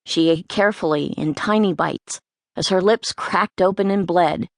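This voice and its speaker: A woman narrates a fantasy tale for children in a scripted storytelling style. Her voice sits on the low side, and it is recorded close to the mic with no room sound. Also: moderate pace, vocal fry, no room sound. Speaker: audiobook narrator. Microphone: close studio mic, dry.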